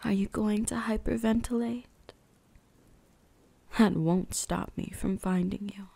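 A woman voicing an ancient, predatory and possessive vampire huntress in an ASMR roleplay, breathy and often whispering, with a teasing, menacing manner. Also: medium pitch, fairly even intonation, measured pace, warm tone. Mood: predatory, taunting. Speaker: voice actress.

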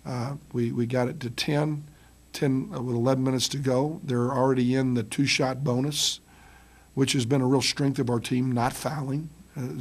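Husky-voiced coach at a press table mic. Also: male voice, mid-range voice. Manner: halting, many ums and restarts, blunt. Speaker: head basketball coach. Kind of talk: post-game press conference. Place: press room with curtain backdrop. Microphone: table mic on stand.

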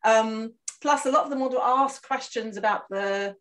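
A woman's voice: fairly high pitch, moderate pace, a bit bright. A woman author chatting on a video call from home, talking casually with many fillers. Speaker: author and networking guest.

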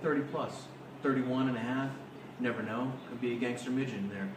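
A man's voice: medium pitch, steady tone, brisk. A man in his thirties rambling to camera in a mock interview, with earnest, self-important, hyped tough talk. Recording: noisy, echoey, distant camera microphone.

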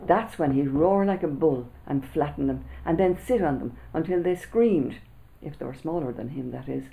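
A woman, an author reading her children's book aloud with lively storytelling, seated indoors before a camera. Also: deep voice, moderate pace, vocal fry, poor audio. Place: small indoor room before a backdrop.

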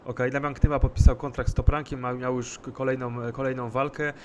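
A man's voice: medium pitch, level tone, fast talker, a little mellow, muffled recording, slight background noise. A male sports journalist asking long, winding questions over a video call, hesitating as he goes. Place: indoor room at home.

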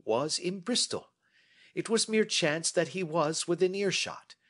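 A man's voice: a little high, pitched above the performer's own voice. A man reading as a brilliant, self-assured Victorian detective who explains his deductions precisely.

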